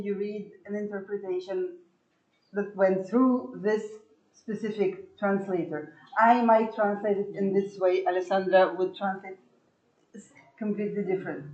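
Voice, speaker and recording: Halting woman translator, mellow voice. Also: medium pitch, muffled recording. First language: neither Hindi nor Hebrew. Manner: halting, repeats words, laughs between points. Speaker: guest lecturer and translator. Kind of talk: academic panel discussion.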